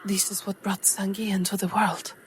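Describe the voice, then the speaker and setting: Even-toned woman narrating gently. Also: medium pitch, normal speed, a little hiss or hum. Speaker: tabletop roleplay player. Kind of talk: in-character fantasy narration with acted dialogue. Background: music.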